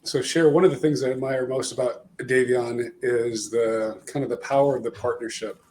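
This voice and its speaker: A man's voice: mid-range voice, fairly even intonation, normal speed, a little mellow. A man co-hosts an interview in a mid-range voice, setting up his question with business jargon. Faint noise hums behind him. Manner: admiring, uses business jargon. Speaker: co-host. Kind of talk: casual interview talk.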